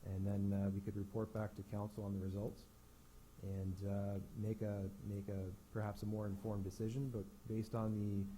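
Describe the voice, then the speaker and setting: A town staff member, a man, answering council questions in a halting way with many restarts. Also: fairly low pitch, monotone, mellow, slight background noise, rough recording.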